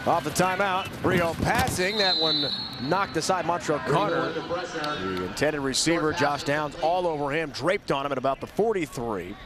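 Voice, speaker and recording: Play-by-play man, American, somewhat high voice, excitable on big plays, loud outdoor stadium noise. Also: normal speed. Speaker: play-by-play announcer. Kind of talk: live American football game commentary. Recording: very noisy outdoor stadium broadcast.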